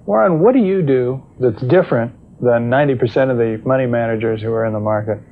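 A man working as a television reporter, asking questions and narrating a news segment in a measured way. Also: medium pitch, soft background music. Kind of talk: broadcast news interview and report. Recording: tinny old TV broadcast audio.

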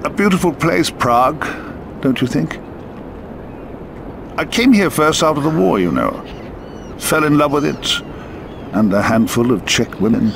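An actor playing a weary, urbane old spymaster in a video game, musing theatrically and cryptically in drawn-out phrases. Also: male voice, fairly high pitch, lively intonation, gravelly, noisy background. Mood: wistful. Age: older. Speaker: mysterious spymaster. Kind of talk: video game cutscene dialogue and narration.

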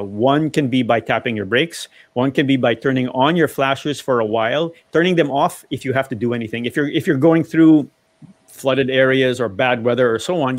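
A man's voice: medium pitch, no room sound. A middle-aged man in a casual panel discussion about driving, explaining at length and often restarting sentences, on a video call from a home study. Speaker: motoring panelist.

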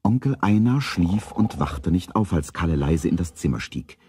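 A man narrating a children's radio play as a calm storyteller, reading scripted prose. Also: low-pitched, normal speed, breathy edge, exaggerated voice. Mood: measured, storytelling.

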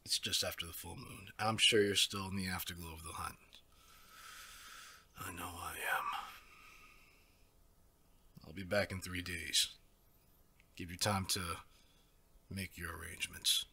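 A man playing a smug, menacing werewolf pack leader in an ASMR audio drama, speaking with raspy, mock-courteous condescension. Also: sits low, flat delivery, a little slow, long pauses, bright, forceful tone, heavily acted. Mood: condescending, veiled threat.

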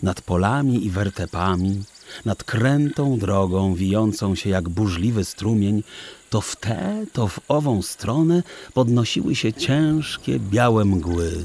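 A man tells a children's story with theatrical, deliberate phrasing. His somewhat low voice rises and falls widely as he takes his time over literary sentences. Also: a little mellow, slight background noise. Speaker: audiobook narrator. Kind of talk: expressive children's storybook narration. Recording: music under the voice. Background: music.

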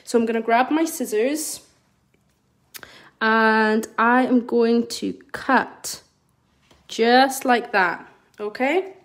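A woman's voice: a little high, slow pace, long pauses. A woman from Scotland with a mild Scottish accent, a nail artist giving a live tutorial and chatting to viewers as she works, recorded on a phone over her desk. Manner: chatty, reads out viewer comments while working. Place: indoor nail studio desk. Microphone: phone camera over the work table.

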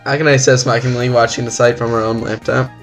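A man's voice: medium pitch, little pitch movement, very fast, soft background music. A man hosting a let's-play, reading visual novel text aloud with exaggerated, dramatic delivery.

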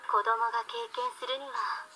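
A woman voice actor playing a composed, understanding mentor in a visual novel, with a measured, knowing manner, re-recorded from computer speakers. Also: fairly high pitch, fairly even intonation, bright, forceful tone, faint music behind.

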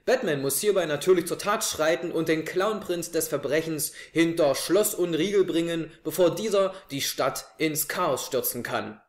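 A man's voice: on the high side, normal speed, few pauses. A young man hosting a scripted review to camera from his living room. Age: young adult. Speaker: host. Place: small living room, on a sofa. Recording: echoey room, camera mic.

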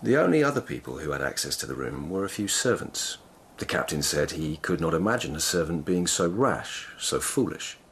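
An actor playing a grave, courteous palace lieutenant in a radio drama, measured and formal in manner. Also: male voice, deep voice, big pitch swings, slight background noise. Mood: probing.

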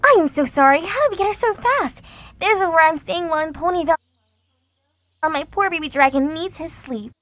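A woman's voice: very high voice, expressive, brisk, fairly bright tone. A woman voicing an anxious, bookish, sarcastic young pony in a cartoon fandub. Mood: flustered. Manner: fretful and sarcastic.

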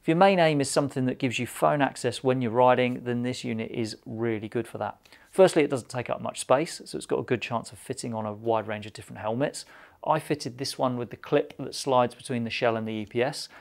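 A middle-aged British man delivers a scripted product review to camera. His mid-pitched voice has a noticeable gravelly fry. He keeps the delivery conversational and matter-of-fact. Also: a little fast. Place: indoor studio with shop display shelves.

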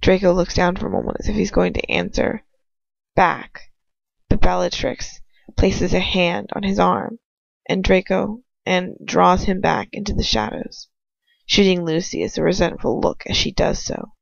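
Gravelly, casual amateur story reader. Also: medium pitch, fairly even intonation, measured pace, no echo, dull audio. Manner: casual, breaks off to comment and stumble over words. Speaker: amateur fan fiction reader. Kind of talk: reading a story aloud, informal asides.

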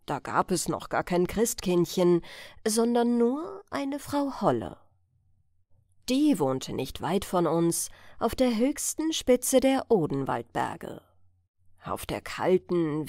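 A woman narrating a fairy tale as a bedtime audiobook, in a measured storytelling manner. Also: mid-range voice, darkish tone, no echo, clean studio sound.

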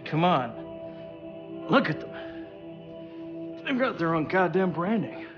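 A cocky, irritable fixer in a video game, sarcastic and foul-mouthed. The sound is dull and band-limited, with music behind the voice. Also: male voice, on the high side, very animated, takes it slowly. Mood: irritated, businesslike. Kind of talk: video game cutscene acting.

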